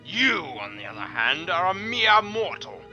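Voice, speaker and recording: A man playing an arrogant god of darkness in a dramatic story reading, with a slow, scoffing, threatening manner. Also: sits high, big pitch swings, sharp tone, lo-fi sound, exaggerated voice. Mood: haughty, threatening. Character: menacing, arrogant male god of darkness and disorder. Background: music.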